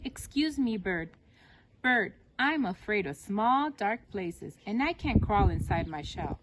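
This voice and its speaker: A woman's voice: medium pitch, expressive, takes it slowly, low-quality recording. A woman voicing a polite, anxious little boy turtle in a children's picture book read-aloud, with earnest, pleading, simple phrasing.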